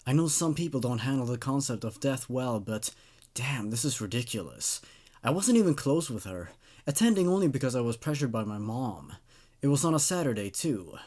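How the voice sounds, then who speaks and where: A man's voice: medium pitch, very animated, normal speed, darkish tone. A man narrating a first-person horror story as a sleepless, paranoid person confessing a creeping dread. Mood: weary, irritated.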